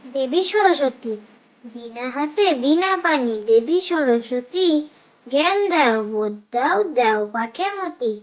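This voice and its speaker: A performer voicing a young child who leads cartoon nursery rhymes in a measured, repetitive sing-song. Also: high-pitched, lively intonation, lo-fi sound.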